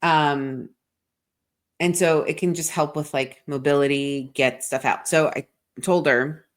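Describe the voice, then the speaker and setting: A woman with a deep, low voice talks loosely on a podcast, piling up fillers and dropping swear words as she rambles through her week. She sounds a bit congested, and her delivery is unguarded and conversational. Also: fairly even intonation, a little slow. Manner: rambling and frank, swears often, heavy "like" filler. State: congested from a sinus infection. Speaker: podcast co-host. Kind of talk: casual chat.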